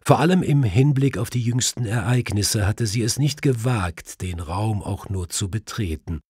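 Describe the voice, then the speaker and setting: A man's voice: lowish voice, moderate pace, a little mellow, husky, no room sound, studio quality. A man reading a mystery audiobook in a scripted, dramatic narrating style. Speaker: audiobook reader. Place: dry studio.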